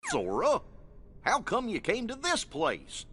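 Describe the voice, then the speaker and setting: A man voicing a gangly, good-natured cartoon dog in a video game, with a husky, hollow drawl and a bumbling, kindly manner. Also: sits high, huge pitch swings, slow, a bit bright, heavily acted. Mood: flustered. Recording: faint game music behind.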